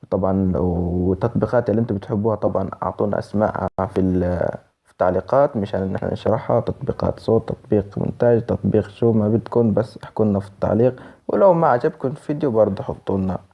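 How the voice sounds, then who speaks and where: A man's voice: lowish voice, racing, vocal fry, husky, dull audio. A man with a Levantine Arabic accent presenting a casual app tutorial, explaining informally step by step. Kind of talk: casual spoken app tutorial for screen reader users.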